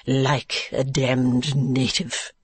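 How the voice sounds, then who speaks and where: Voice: mid-range voice, flat delivery, extremely slow, breathy edge. A reader voicing an affected male dandy in a classic novel, drawling in a melodramatic, poetical manner. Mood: languid, lovesick. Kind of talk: classic novel audiobook character.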